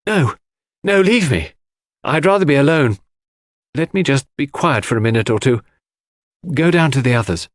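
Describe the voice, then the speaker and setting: A man's voice: medium pitch, lively intonation, normal speed, long pauses, no room sound, clean studio sound, voice pushed up, natural voice. A man voicing a frightened, distraught woman in an urgent, exclaiming manner for a dramatic audiobook mystery. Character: frightened, distraught young woman, sharply refusing comfort.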